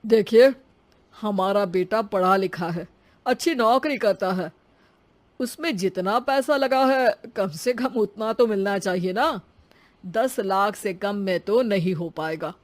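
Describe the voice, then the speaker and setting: A woman's voice: medium pitch, slow, natural voice. A woman voicing a greedy, haughty father of the groom in a cartoon story, talking in a demanding and threatening way. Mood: haughty, firm.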